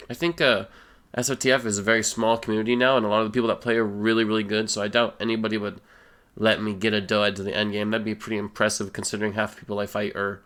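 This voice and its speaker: Young male gaming creator, a bit low-pitched, nasal from a cold, laid-back chatty delivery. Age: young adult. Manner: relaxed, rambling, many fillers. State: stuffy nose, a little sick. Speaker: gaming YouTuber. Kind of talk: casual Q and A voice-over.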